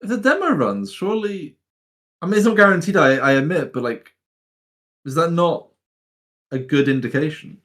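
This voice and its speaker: Lively, higher-voiced man teasing his co-host. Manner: quick teasing banter, laughs often. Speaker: podcast co-host. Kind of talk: casual gaming news chat.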